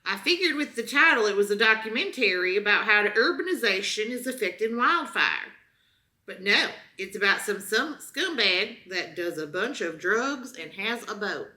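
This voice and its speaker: A woman with a Southern US accent co-hosting a comedy show, chatting casually and reading product reviews aloud in a deliberate, mock-earnest way. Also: medium pitch, lively intonation, pushed and bright.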